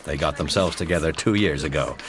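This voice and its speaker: A man's voice: very deep voice, a little fast. An actor playing a proud, wry society father, recounting events in a formal, emphatic way in a period radio drama.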